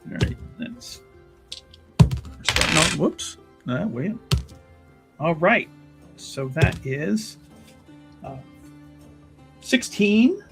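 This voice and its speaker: A man playing a tabletop roleplaying game, describing what his character does in a deliberate, wry way with pauses. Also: a little high, big pitch swings, creaky, over music.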